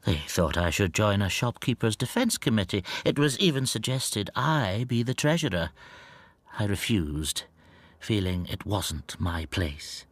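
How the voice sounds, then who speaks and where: A subdued, wounded stamp dealer talks in a soft, brooding murmur. The voice sits a little low, in a radio drama style. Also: male voice, animated, unhurried, no room sound. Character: timid foreign stamp dealer, quiet, wounded.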